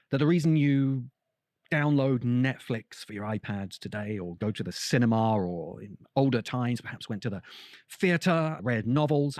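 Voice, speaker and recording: Guy doing a thoughtful podcast essay, very animated pitch, articulate and emphatic, dry close sound. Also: no room sound. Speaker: podcast host, author and critic.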